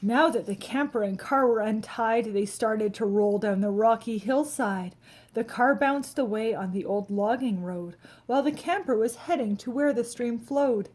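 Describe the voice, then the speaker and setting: Higher-voiced woman reading a children's storybook. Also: moderate pace, a little mellow. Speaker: storybook reader. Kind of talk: expressive read-aloud of a rhyming children's picture book.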